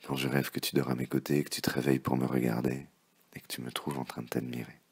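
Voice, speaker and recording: A man with an extremely low, gravelly voice recites love poetry. His delivery is theatrical and impassioned, able to sink into hushed murmurs, and his tone is husky and rough. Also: level tone, quick pace. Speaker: poet reading poems.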